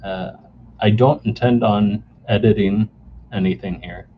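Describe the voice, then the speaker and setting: A man in his forties hosting a solo podcast off the cuff, halting with many fillers and restarts, recorded on a camera mic indoors. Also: lowish voice, steady tone. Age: 40s. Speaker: podcast host. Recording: dull lo-fi camera mic sound with faint noise.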